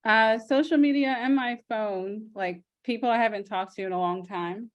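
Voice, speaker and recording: An American woman on a casual video call, friendly and quick to laugh, giving short answers. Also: a little high. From United States. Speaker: guest on a coaching call.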